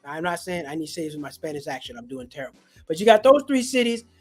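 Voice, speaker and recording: Comedian host, casual slangy storyteller, middle pitch, faint music underneath. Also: big pitch swings, fast talker. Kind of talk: casual livestream chat.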